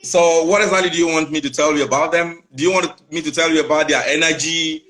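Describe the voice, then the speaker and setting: A Nigerian man with a Nigerian accent answering interview questions calmly, explaining at length and repeating phrases. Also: on the high side, quick pace, rough recording. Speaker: father and channel runner.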